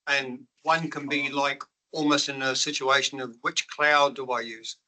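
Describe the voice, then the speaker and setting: Very bright man asking a rambling question. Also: mid-range voice, little pitch movement, unhurried, rough recording. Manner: rambling, searches for words. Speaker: audience member.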